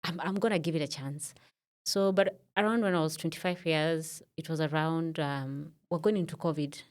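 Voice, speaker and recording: A woman's voice: deep voice, fairly even intonation, moderate pace. A Kenyan woman around thirty, a podcast guest in a studio, telling her story in a reflective way with many fillers. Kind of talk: casual interview talk.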